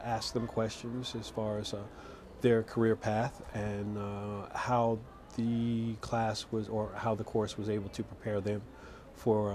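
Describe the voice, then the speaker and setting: A man giving an informal interview testimonial as an alumnus, halting and adding wry asides. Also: lowish voice, a little mellow, a little hiss or hum. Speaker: program alumnus.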